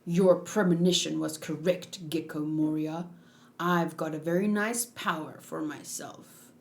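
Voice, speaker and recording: A woman's voice: low-pitched, steady tone, a little slow, pitched below the performer's own voice. A woman voicing a cold, smug male pirate swordsman from a manga in composed, gloating tones.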